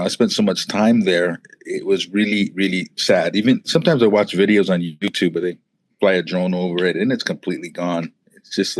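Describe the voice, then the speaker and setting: A man's voice: deep voice, no echo. A man in his forties from Hawaii, a guest on a podcast, telling stories in an easygoing, conversational way. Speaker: guest, motivational speaker. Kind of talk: casual interview.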